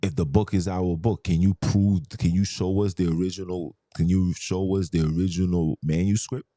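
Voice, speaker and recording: A deep, mellow-toned man holds forth in a heated podcast monologue. He argues hard, firing rhetorical questions and hammering points home with repetition. His voice sits close and dry, with no room sound around it. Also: steady tone, moderate pace, dry recording. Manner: argumentative, rhetorical questions, emphatic. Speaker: podcast host.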